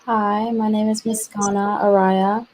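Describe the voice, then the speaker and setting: Young woman, flat and distant. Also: medium pitch, a little slow, slight background noise. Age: young adult. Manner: brief, flat self-introduction. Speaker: college student guest.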